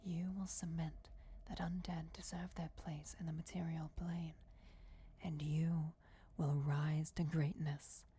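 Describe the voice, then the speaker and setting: A woman's voice: low-pitched, level tone, takes it slowly, mellow, husky, full character voice. A woman voicing a regal, commanding vampire goddess in a solemn whispered fantasy ASMR roleplay. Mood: proud, commanding. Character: ancient vampire goddess, commanding, softly menacing, bestowing destiny.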